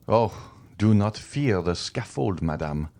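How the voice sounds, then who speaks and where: Man reading as a cold angry judge grilling his wife, gets loud and heated, lowish voice. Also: expressive, slow. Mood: accusing. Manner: accusing, rising to furious outbursts. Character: stern contemptuous magistrate husband.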